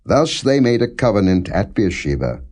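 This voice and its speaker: A man reading scripture as solemn, non-dramatized audiobook narration, in a measured, formal manner with deliberate phrasing. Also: lowish voice, sing-song, darkish tone. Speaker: scripture reader.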